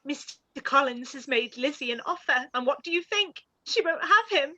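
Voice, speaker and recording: An actress playing an excitable, gossiping teenage sister in a period radio play. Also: female voice, high voice, normal speed, heavily acted. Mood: gleeful gossip. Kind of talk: period drama live-read radio play.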